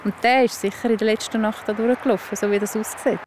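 Swiss German woman ranger, medium pitch. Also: fairly even intonation, quick pace, darkish tone, a little hiss or hum. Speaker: forest ranger and nature guide. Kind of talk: spontaneous explaining to a child. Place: outdoors in a forest.

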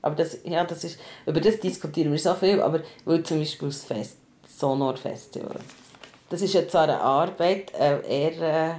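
A woman's voice: sits low, moderate pace, warm tone. A young woman hosting a podcast in a casual, chatty style. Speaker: podcast host.

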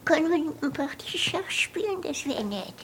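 An elderly woman being interviewed, reminiscing in short, halting phrases. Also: sits high, very animated, a little hiss or hum. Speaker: interviewee, pianist. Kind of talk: reminiscing in conversation.

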